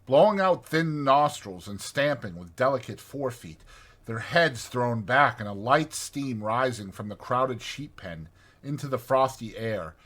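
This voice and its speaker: A male audiobook narrator reads literary prose with deliberate, expressive phrasing. His voice is bright and forceful with a husky grain, and his pitch moves in lively swings. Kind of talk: dramatized literary storybook narration.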